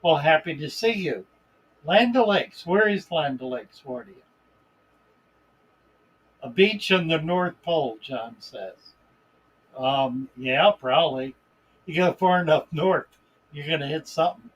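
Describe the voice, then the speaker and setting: A man hosting a live stream in a relaxed, unhurried way, greeting viewers by name and reading their comments aloud. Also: a little high, slightly rough, low-quality recording. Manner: relaxed, unhurried, chuckles between remarks. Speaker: livestream host.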